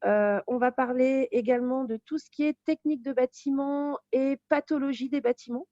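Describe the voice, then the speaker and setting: A woman's voice: high-pitched, steady tone, moderate pace, warm tone, no echo, highs cut off. A woman giving an informal, explanatory presentation of a study programme as a teacher. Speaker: teacher presenting a real estate course.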